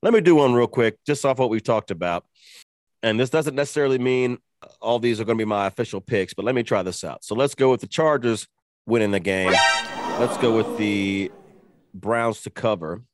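A man's voice: lowish voice, moderate pace, no room sound, studio quality. A man co-hosting a sports betting show in loose, profane, joking banter. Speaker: podcast co-host. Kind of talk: casual sports betting chat.

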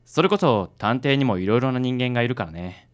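A man playing a kindly, self-styled handsome man with a smooth, affected and reassuring way of talking in a radio drama. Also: medium pitch, lively intonation, fairly quick, natural voice. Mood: gently reasoning.

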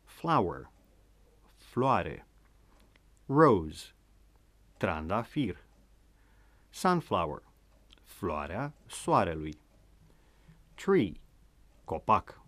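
Male radio host with a Romanian accent, slow, deliberate teaching delivery, fairly low voice. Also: very animated, fairly bright tone, dry recording. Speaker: language show host. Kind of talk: scripted radio lesson.

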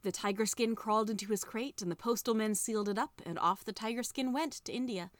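A young adult woman reading a children's story aloud, narrating with lively dialogue. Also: a little high, fairly quick, no echo. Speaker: reader.

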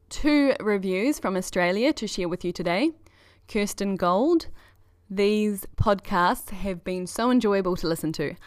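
A woman's voice: fairly low pitch, no room sound, studio quality. A woman hosting a podcast, giving a chatty, enthusiastic scripted introduction. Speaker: podcast host.

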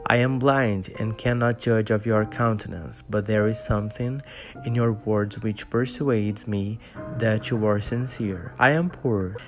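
A man voicing a kindly, blind, elderly exile, speaking in a measured, earnest way in an audiobook reading. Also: on the low side, steady tone, over music, close to an everyday voice. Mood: gentle.

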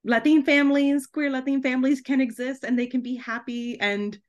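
A woman's voice: high-pitched, fairly even intonation, normal speed. Chatty, giggly woman in casual conversation. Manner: chatty, giggly, effusive, frequent "like" and "kind of". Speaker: guest author and podcast host. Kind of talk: casual podcast interview chat.

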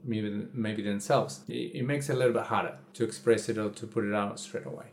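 Low gravelly man musing aloud. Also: steady tone. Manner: halting, restarts and repeats words while thinking aloud. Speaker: podcast co-host. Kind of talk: casual chat.

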